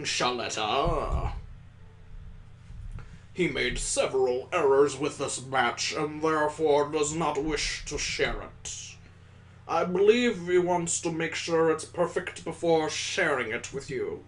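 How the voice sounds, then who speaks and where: A gamer as a slow, formal male mentor. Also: medium pitch, lively intonation, rough recording. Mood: calm explaining.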